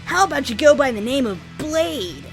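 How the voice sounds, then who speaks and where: Man putting on a high, lively hedgehog hero. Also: normal speed, vocal fry, faint music behind, full character voice. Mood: cheeky, friendly. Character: cocky young male hedgehog hero, cheeky and brisk.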